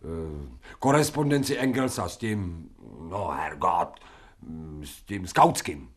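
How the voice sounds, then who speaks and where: A crude dog-made-man in a radio play. His pitch swings widely through blunt, slangy speech, stubborn and sullen, halting whenever he has to think. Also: expressive. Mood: blunt, dismissive. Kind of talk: radio drama acting.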